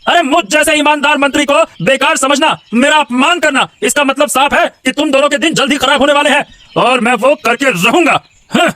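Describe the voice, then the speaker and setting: A man's voice: very high-pitched, a little fast, hardly any pauses, pushed and bright, no echo. A man voicing a scheming, indignant royal minister in a cartoon, scolding and repeating himself with petty bluster. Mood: threatening. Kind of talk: exaggerated Hindi cartoon voice acting.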